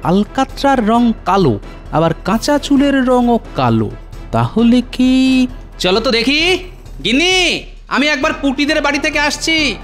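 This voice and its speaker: Lively, sly cartoon village trickster. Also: male voice, very high-pitched, a little slow, pauses often, soft background music, heavily acted. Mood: puzzled, suspicious. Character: clever, curious village man, a sly trickster. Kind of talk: comic cartoon acting.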